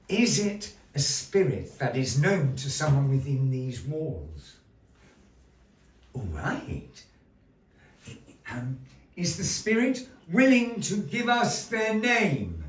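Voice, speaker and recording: A man's voice: mid-range voice, far from the mic, slight background noise. A man hosting a puppet show before a live audience, with showy, grandly polite, playful stage patter. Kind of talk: theatrical stage patter with a puppet fortune-teller. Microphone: distant room mic. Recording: echoey room heard from afar.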